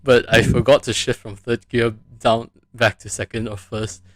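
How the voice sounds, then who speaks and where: A man's voice: mid-range voice, little pitch movement, moderate pace, fairly bright tone, no room sound. A man from the United States chatting casually as the host of a live stream podcast.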